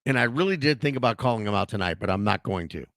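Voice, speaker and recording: Hoarse, animated male sports show host. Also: on the low side, fast, no echo, clean studio sound. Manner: animated, ranting, with frequent restarts. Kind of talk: casual sports talk commentary.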